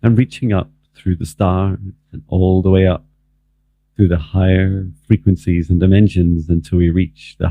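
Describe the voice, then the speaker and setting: A man leading a guided meditation as a spiritual mentor, speaking in a slow, calm, measured way with long pauses. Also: low-pitched, slightly rough. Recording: muffled, phone-like narrow band, dry.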